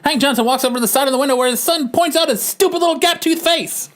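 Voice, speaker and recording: A man's voice: very high voice, quick pace, a bit bright. A man narrating a comic book as a podcast host, theatrical and amused, reading panel descriptions for laughs.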